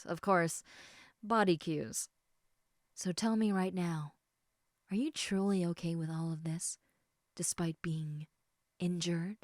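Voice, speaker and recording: Casting: actress as caring half-snake lamia for intimate roleplay audio; soft, hesitant, unhurried delivery, very dry close sound. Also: female voice, lowish voice, no echo. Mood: concerned, teasing. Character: gentle, protective, indecisive female lamia, half snake.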